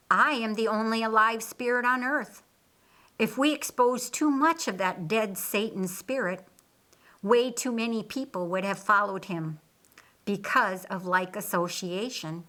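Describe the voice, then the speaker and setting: A woman voicing a patient, authoritative male teacher in an audiobook, explaining in a measured, didactic way. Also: medium pitch, pushed and bright. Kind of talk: audiobook character dialogue.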